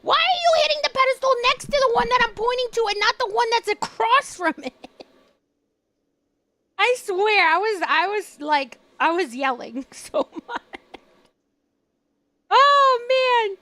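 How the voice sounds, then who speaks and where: A young woman streaming live, chatting casually with a hoarse voice and telling an animated story full of laughs. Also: extremely high pitch, unhurried, pauses often, a little hiss or hum. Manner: animated storytelling, laughs often, acts out quotes.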